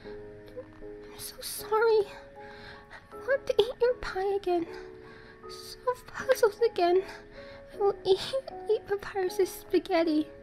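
A woman voices a frightened human child in a dramatic comic dub. The voice is very high, halting and tearful, full of pleading remorse, over music. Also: a little mellow, low-quality recording.

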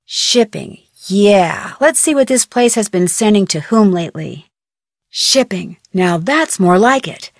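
A plucky teenage girl detective from a video game. She thinks aloud with curiosity and wry humor, in a mid-pitched voice. The recording is very dry and close. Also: animated, measured pace, no room sound. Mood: musing. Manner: wry, curious, self-talking. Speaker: voice actor of a video game heroine.

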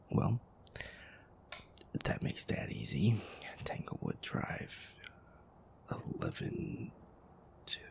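A man playing a feisty, sweary werewolf boyfriend who grumbles his way through a horror game in an audio roleplay, his voice breathy and gravelly. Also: lowish voice, darkish tone, heavily acted. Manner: exasperated, sweary grumbling, reading game text aloud. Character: feisty werewolf boyfriend, grumpy, sweary, easily scared gamer.

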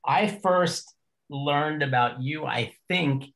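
A man's voice: fairly high pitch, slow, gravelly, fairly bright tone. Rambling older male podcast host. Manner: rambling, folksy, joking. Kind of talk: casual podcast interview.

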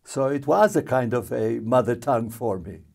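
An older man from Jerusalem, a guest in a TV studio interview, speaking slowly with many pauses and restarts into a lapel mic. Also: mid-range voice, lively intonation, creaky, darkish tone. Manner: slow, halting, with many fillers and restarts.

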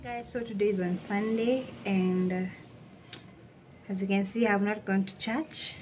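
Relaxed young Kenyan woman vlogging. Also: mid-range voice, unhurried, a little hiss or hum. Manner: relaxed, mixing in Swahili phrases. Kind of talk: casual vlog narration. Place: home kitchen.

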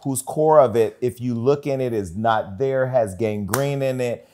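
A man in his forties hosts a talk show from a studio, pressing his guest with teasing, provocative questions. His voice sits at an ordinary middle pitch. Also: a little slow, dark tone. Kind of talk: gossipy talk-show interview. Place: bright studio set with city view.

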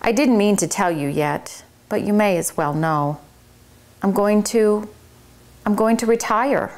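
A gentle, homesick male cricket who speaks softly and wistfully, voiced by a woman reading a children's book aloud. His tone is mild and unhurried. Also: mid-range voice, natural voice.

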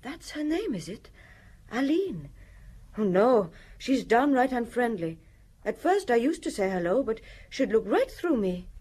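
Frank, gossipy neighbour. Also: female voice, fairly high pitch, very animated, a little slow, warm tone, husky, full character voice. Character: forthcoming, slightly gossipy female apartment neighbour. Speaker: actress in a radio drama. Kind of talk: radio drama acting, witness interview.

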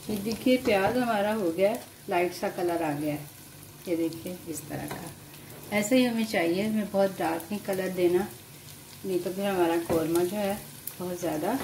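A woman with an Urdu-influenced Hindustani accent calmly explains a home cooking recipe step by step to viewers. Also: on the low side, normal speed, a little hiss or hum, poor audio. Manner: calm, explanatory, addresses viewers directly. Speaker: home cooking channel host. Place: home kitchen at the stove.

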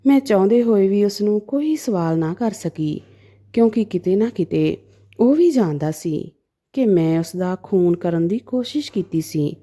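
Mellow woman telling a story. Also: medium pitch, animated, normal speed. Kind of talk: dramatic first-person story narration.

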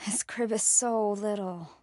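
Actress as wary young woman, game heroine talking to herself: breathy, unhurried, sardonic. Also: mid-range voice, steady tone, no echo, natural voice. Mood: hushed wonder. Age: young adult. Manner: muttering to herself, hushed, breathy. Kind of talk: video game voice acting.

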